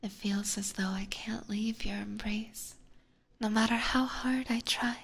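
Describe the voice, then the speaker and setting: A woman voicing an adoring, possessive vampire catgirl with sweet menace, whispering slowly and tenderly in an ASMR roleplay. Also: mid-range voice, fairly even intonation, a bit bright, heavily acted. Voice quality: breathy whisper. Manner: slow, tender, coaxing.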